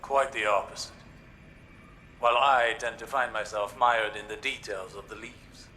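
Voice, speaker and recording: An actor playing an earnest, scholarly older male warlock in a video game, speaking in a deliberate, philosophical way. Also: on the low side, fairly even intonation, bright, forceful tone, soft background music. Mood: musing. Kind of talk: dramatic video game dialogue.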